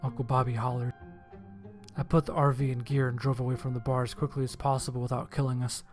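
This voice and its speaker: A man's voice: medium pitch, little pitch movement, fast. Male horror narrator, mid-range voice, dramatic first-person storytelling, music underneath.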